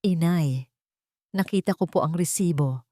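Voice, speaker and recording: A woman's voice: fairly low pitch, unhurried, warm tone, no room sound, clean studio sound, natural voice. A woman voicing a meek, wounded young wife with a trembling, pleading manner in an audio drama. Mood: hurt, pleading.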